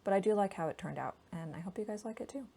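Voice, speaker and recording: A woman doing a casual, soft-spoken ASMR voiceover, hushed, gentle and informal, breathy at times. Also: mid-range voice, quick pace. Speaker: host of a board game ASMR channel. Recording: steady background noise from a laptop. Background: laptop fan noise.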